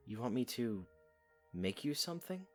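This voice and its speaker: A woman acts out a bashful young man with a very lively intonation. He is earnest and protective, hesitant and dryly understated, in an audiodrama with light music behind. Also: very deep voice, moderate pace, exaggerated voice. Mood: modest, pleased. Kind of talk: audiodrama acting.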